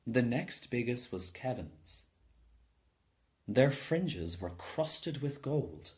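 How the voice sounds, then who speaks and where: A man's voice: mid-range voice, sing-song, very slow, a little mellow. A man narrating a children's storybook as a scripted reading. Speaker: storybook reader.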